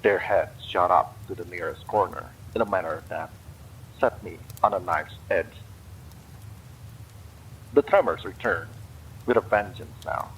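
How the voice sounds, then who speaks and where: Deep, slow-reading male storyteller. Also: slight background noise, low-quality recording. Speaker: story reader. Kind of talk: horror story narration.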